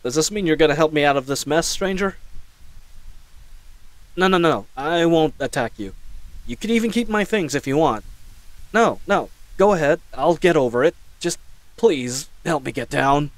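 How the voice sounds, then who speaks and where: A man's voice: on the high side, lively intonation, moderate pace, no room sound, low-quality recording. A man playing a flustered, chatty knight in a scripted audio roleplay, rambling nervously and stammering. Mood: pleading, rambling. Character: babbling, flustered young male knight caught in a snare.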